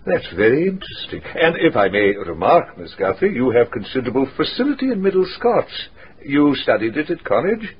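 An actor playing a bookish gentleman lawyer in a radio drama, formal, inquisitive and deliberate. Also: medium pitch, sing-song, husky. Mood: probing, courteous. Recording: dull radio recording, faint noise.

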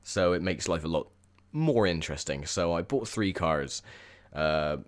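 A young man with a croaky, sore voice, chatting casually through gameplay commentary with lots of ums. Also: deep voice, animated, a little slow. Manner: chatty, rambling with many ums. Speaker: gaming video host.

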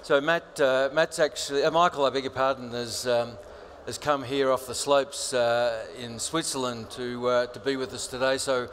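A man's voice: medium pitch, fairly even intonation, moderate pace, few pauses, a bit bright, a little hiss or hum. Man moderating a panel. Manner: hesitant, many fillers, jokey. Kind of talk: conference panel hosting. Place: large conference stage with audience.

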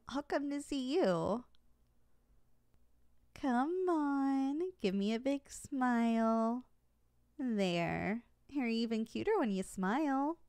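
A young woman speaks in a gentle ASMR roleplay, slightly high-pitched and soft. She sounds tender and teasing, like a girlfriend talking close to you. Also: clean tone, no room sound.

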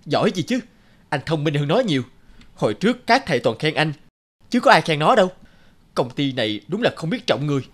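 An actor playing a disgruntled, boastful male engineer in his thirties in an animated film, grumbling and dismissive. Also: on the high side, fairly quick, long pauses. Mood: bitter, boastful.

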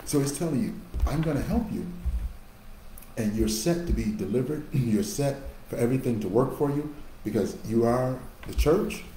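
A man in his fifties preaching a sermon into a webcam in a small office, building to emphatic bursts and dropping to quiet asides. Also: mid-range voice, expressive, moderate pace. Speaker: pastor. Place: small office with a large painting behind. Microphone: webcam on a video call. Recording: echoey room with faint background hum.

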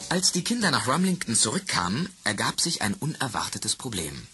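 A man's voice: medium pitch, very animated, brisk, over music. A man narrating a children's radio play as a scripted storyteller.

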